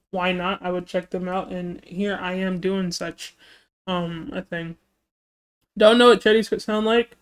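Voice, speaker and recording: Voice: medium pitch, clear, resonant tone. A streamer reacting to music videos in casual chat, rambling with many fillers, while their voice keeps catching from throat trouble. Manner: rambling and chatty, many fillers and self-interruptions.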